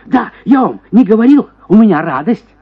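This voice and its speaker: An actor voicing a henpecked prosecutor, a self-pitying husband who grumbles and exclaims theatrically in a radio story. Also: male voice, high-pitched, moderate pace, husky, narrow, tinny audio, pitched above the performer's own voice, exaggerated voice. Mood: sly, bitter. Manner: plaintive grumbling that bursts into excited exclamations.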